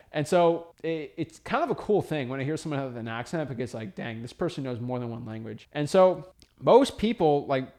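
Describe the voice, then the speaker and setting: A young man coaching listeners on real estate wholesaling in an emphatic, energetic teaching talk, often checking in with "right?". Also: medium pitch. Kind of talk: motivational teaching talk to camera.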